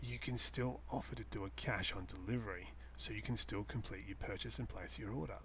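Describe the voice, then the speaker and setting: A man with an Australian accent giving a casual, unscripted explanation to a webcam in a halting, rambling way, repeating phrases for emphasis. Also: on the low side, fairly bright tone, slight background noise. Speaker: host answering a viewer's question, online seller. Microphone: webcam setup.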